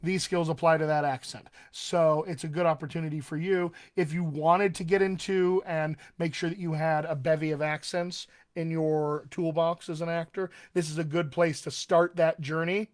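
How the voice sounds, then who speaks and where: A man's voice: fairly high pitch, flat delivery, normal speed, hardly any pauses. A man, a dialect coach, talking casually in a live stream, friendly and chatty with frequent ums and uhs. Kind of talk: live-streamed solo talk.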